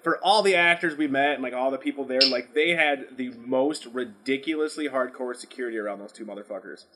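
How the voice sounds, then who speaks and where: A man's voice: medium pitch, brisk, heavy background noise. A man co-hosting a podcast, bantering casually with brash, blunt opinions and heavy swearing. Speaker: podcast co-host.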